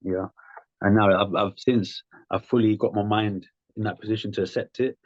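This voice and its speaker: A man's voice: lowish voice, steady tone, moderate pace, no room sound, highs cut off. A man in his thirties, a podcast guest, talking frankly in loose, rambling phrases with plenty of "um" and "like". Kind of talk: conversational interview.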